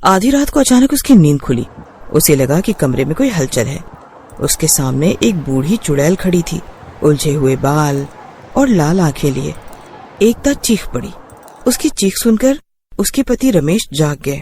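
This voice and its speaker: A woman's voice: low-pitched, big pitch swings, unhurried, dark tone, slight background noise. A woman reading scripted horror story narration as a storyteller.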